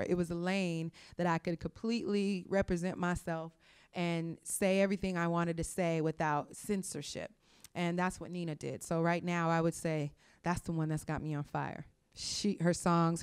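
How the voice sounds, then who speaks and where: A woman's voice: fairly low pitch, a little mellow, smooth. A woman from California, a hip hop artist and hairstylist, talking passionately at length as an interview guest, with fillers. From Sacramento, California. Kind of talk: casual interview talk.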